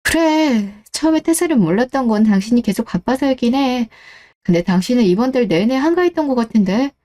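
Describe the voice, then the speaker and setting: Radio drama role: woman as a stern, reproachful wife, cool-headed and unforgiving, slightly high pitch, very mellow timbre. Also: lively intonation, fairly quick, close to an everyday voice. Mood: shocked, questioning. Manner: cold, interrogating, reproachful. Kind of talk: radio-drama story dialogue.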